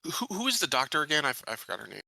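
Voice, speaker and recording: Very bright-voiced man, halting game player. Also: fairly high pitch, big pitch swings, vocal fry, dry recording, rough recording. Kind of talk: casual game chat.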